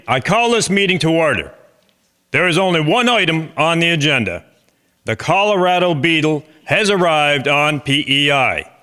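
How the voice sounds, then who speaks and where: A man's voice: fairly high pitch, pushed and bright. A man playing an earnest chairman of a farmers' agricultural society in a historical drama, speaking formally and instructively.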